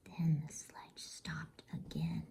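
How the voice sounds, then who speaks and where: A woman reading a novel aloud as a bedtime story in a hushed, unvoiced ASMR whisper. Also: sits low, very animated, slow, breathy edge.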